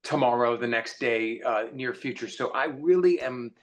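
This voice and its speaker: A man's voice: mid-range voice, normal speed. A man speaking as a guest author in a casual panel conversation, thinking aloud and repeating for emphasis. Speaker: science fiction author, guest.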